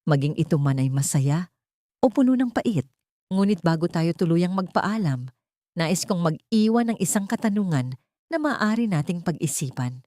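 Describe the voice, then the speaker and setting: A woman narrating a scripted dramatic story in an earnest, sentimental, measured way. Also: on the low side, lively intonation, mellow, no echo, clean studio sound.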